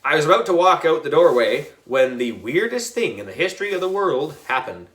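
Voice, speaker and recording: A man narrating a children's story in an expressive, playful style. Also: on the high side, fairly quick, noisy background. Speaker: audiobook reader.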